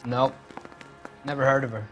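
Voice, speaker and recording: A surly small-time American car thief played by a man in a period crime game. He talks in sullen slang at an ordinary male pitch, always on the defensive. Soft music plays underneath. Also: steady tone, normal speed, lightly acted. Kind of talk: 1940s crime drama game acting.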